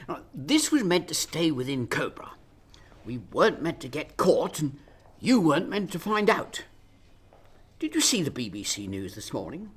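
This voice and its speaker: Woman acting a husky, exasperated female Prime Minister. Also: low voice, sing-song, normal speed, full character voice. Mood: tense. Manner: scolding, sarcastic, emphatic. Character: exasperated, sharp-tongued female Prime Minister under pressure. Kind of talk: radio drama acting.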